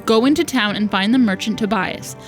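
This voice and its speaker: A woman's voice: mid-range voice, fairly quick, natural voice. Woman acting as haughty older stepmother, visual novel character, scolding, impatient, scornful, music in the background. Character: imperious, scornful older stepmother barking orders. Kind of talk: reading visual novel dialogue aloud. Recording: music behind the voice.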